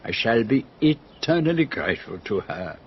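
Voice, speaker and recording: Courtly little Belgian gentleman detective, French-accented, exclamatory, very lively pitch, radio drama. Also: moderate pace, breathy edge. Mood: warm, courteous. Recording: dull, noisy radio drama recording.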